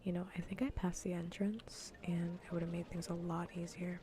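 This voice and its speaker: A woman streamer chatting casually in a soft, breathy whisper and murmur during an ASMR gaming livestream. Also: fairly low pitch, flat delivery, moderate pace, music in the background.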